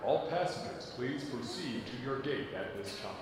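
Actor as an echoing airport announcer. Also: male voice, medium pitch, expressive, moderate pace, lightly acted. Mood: impersonal. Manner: formal, impersonal. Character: formal airport announcer calling a flight. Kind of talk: public address announcement. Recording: echoey terminal announcement, distinct background noise.

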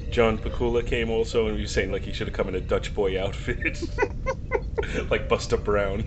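A man's voice: fairly low pitch, moderate pace, dull audio, heavy background noise. A man hosting a podcast, chatting casually, teasing and laughing with restarts. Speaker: podcast host. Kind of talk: casual chat.

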